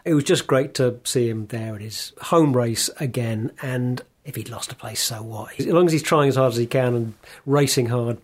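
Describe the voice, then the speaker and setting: A man's voice: medium pitch, normal speed, few pauses. A man co-hosting a casual motor racing chat, reasoning aloud thoughtfully with hesitant restarts. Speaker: podcast co-host.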